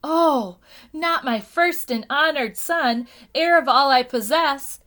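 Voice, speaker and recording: A woman's voice: high-pitched, takes it slowly, pushed and bright, voice pushed up, exaggerated voice. A woman voicing a stern, formal mother who scolds her child.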